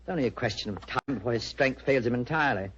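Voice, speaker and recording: An actor playing a polite, anxious young earl in a 1940s radio drama, earnest and slightly hesitant, with a husky voice. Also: male voice, mid-range voice, quick pace, fairly bright tone, a little hiss or hum, close to an everyday voice. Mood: polite, conversational. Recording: dull old radio recording.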